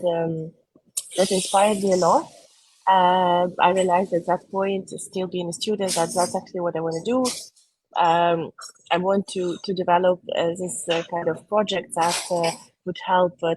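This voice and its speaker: A woman in her thirties telling her career story as an interview guest, halting and pausing on many "um"s. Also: on the low side, darkish tone, slightly rough, rough recording. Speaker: guest speaker.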